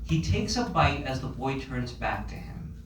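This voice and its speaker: Male narrator, scripted screenplay read, mid-pitched, distant mic, faint room noise. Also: sing-song, measured pace, roomy sound. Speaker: stage-direction reader.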